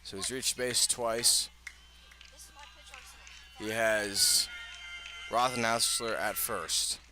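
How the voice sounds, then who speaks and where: A man's voice: on the low side, steady tone, drawn-out words, sharp tone, soft background music. A man doing live radio baseball play-by-play in short, clipped phrases. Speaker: sports play-by-play announcer.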